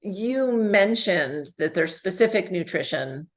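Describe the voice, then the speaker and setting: A woman hosting a podcast interview, eager and enthusiastic, quick to affirm her guest. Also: lowish voice, expressive. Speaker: podcast host. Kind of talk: casual interview conversation.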